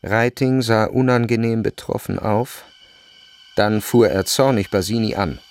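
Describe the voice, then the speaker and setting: A man's voice: fairly low pitch, unhurried. A man reading scripted literary narration for a radio drama. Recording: music behind the voice.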